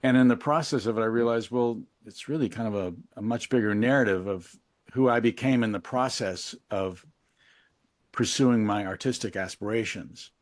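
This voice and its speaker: Reflective, low-voiced man, closely recorded. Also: moderate pace, dry recording, clean studio sound. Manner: reflective, candid, thinking aloud. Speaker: interview guest, musician and memoir author. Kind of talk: casual, reflective interview talk.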